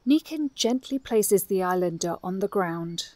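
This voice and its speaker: Mid-pitched female audio drama narrator. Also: animated, unhurried, mellow, dry recording.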